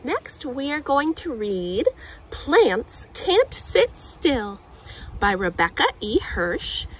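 A woman reads a picture book to children in a fairly high, very animated voice. She gives key words deliberate emphasis, like a storytime host. She is recorded outdoors with clear ambient noise around her. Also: poor audio. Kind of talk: read-aloud picture book narration for children. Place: outdoors on grass.

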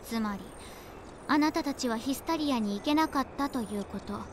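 A woman playing a composed, mysterious young guide in a game cutscene, measured and matter-of-fact. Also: high voice, background noise, lightly acted. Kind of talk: animated game cutscene acting.

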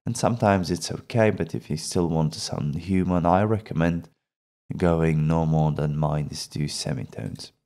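A man presenting an instructional software tutorial as a voice-over, explaining things in a measured way on a close mic. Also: very deep voice. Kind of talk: instructional screen-recording voice-over.

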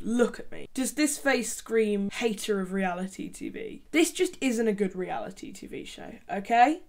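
A young woman speaking into a desk microphone in a small bedroom. Her commentary is dry, deadpan and sarcastic, with a voice sitting slightly high. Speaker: YouTube commentator. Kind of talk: sarcastic commentary on a reality show. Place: small bedroom with colored lights. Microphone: large desk microphone.

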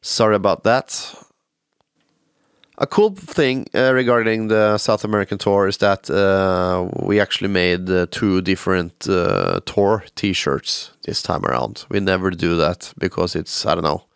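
A man's voice: low-pitched, measured pace, gravelly, smooth, dry recording, studio quality. A man hosting a podcast, telling news in a rambling way with many fillers, sounding nasal from a stuffy nose due to a cold. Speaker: podcast host and band musician. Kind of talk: solo podcast update.

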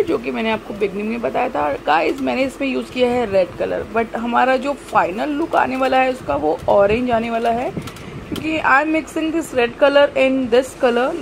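A woman's voice: a little high, creaky. A young woman with an Indian accent and a cold and sore throat, casually explaining a recipe as host of a cooking channel, switching between Hindi and English. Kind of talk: casual recipe demonstration voice-over. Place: home kitchen. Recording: home video with noticeable background noise.

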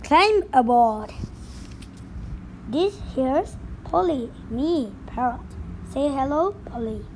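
A blustering storybook pirate captain who now drives a bus, put on by a woman reading aloud. The voice sits high, with a slow, drawn-out delivery thick with pirate slang and growled arrs. It is boastful on top and easily rattled underneath. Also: animated, darkish tone, a little hiss or hum, poor audio. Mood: hearty.

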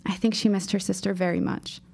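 A woman plays a bookish teenage girl in a radio drama. Her voice has a noticeable creak, and she speaks in wordy, formal phrases with earnest insistence. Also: medium pitch, level tone, brisk, mellow. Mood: shaken, grave. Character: precocious, earnest fifteen-year-old girl writer, shaken but insistent. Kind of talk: audio drama acting.

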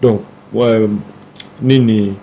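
A Congolese man in his forties with a Kinshasa accent, a singer talking in a casual studio interview, halting with many fillers and restarts. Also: lowish voice, fairly even intonation, muffled recording, slight background noise. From Democratic Republic of the Congo. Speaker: singer guest. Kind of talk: casual interview talk mixing Lingala and French. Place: small TV studio, indoors. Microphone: desk mic on stand, close.

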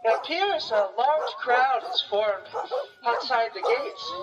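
A woman playing a self-important male news reporter in a 1920s radio drama, with showy announcer delivery. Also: a little high. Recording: muffled, noisy, distant field recording.

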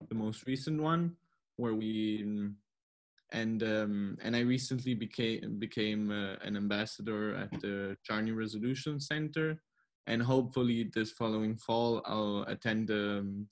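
An eighteen-year-old man introducing himself a bit haltingly on a video call from a room at home. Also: fairly low pitch. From half Italian, half German. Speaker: program ambassador. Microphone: laptop on video call.

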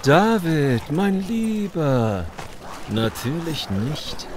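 A man's voice: a little high, very animated, extremely slow, background noise, exaggerated voice. A man in a German audio drama playing a ruthless, smug gang boss with mock-polite, theatrical menace. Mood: coldly menacing.

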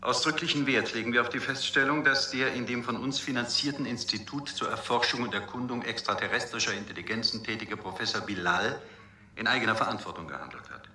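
An actor playing a coolly formal corporate official reading out an official message in a radio drama. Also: male voice, mid-range voice, brisk, pushed and bright. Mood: coolly officious. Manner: officious, precisely phrased. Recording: roomy binaural studio sound.

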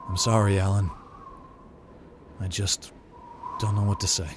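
A man's voice: lowish voice, little pitch movement, unhurried, background noise, natural voice. A man voicing a tense, decisive survivor leader and protective father in a comic dub. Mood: steady, concerned. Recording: loud music bed under the voice.

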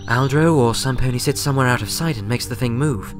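A man voicing a studious, careful young female unicorn pony in a narrated story, measured and thoughtful. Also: mid-range voice, faint music behind, voice pushed up, lightly acted. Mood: pondering.

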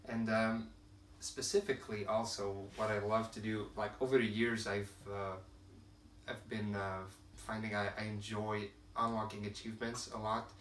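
A man's voice: lowish voice, level tone, moderate pace, fairly bright tone. A young man from Quebec whose first language is French, talking casually to camera as a channel host, with many fillers and restarts as he searches for words. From Quebec, Canada. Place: room at home with shelves of films and games. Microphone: camera at a distance. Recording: roomy, distant camera sound, faint hiss.

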